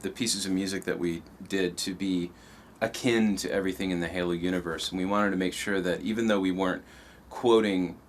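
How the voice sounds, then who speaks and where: A man with a deep voice talks as a composer in a behind-the-scenes interview. He is measured and thoughtful, pausing as he picks his words. There is faint ambient noise behind him. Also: steady tone.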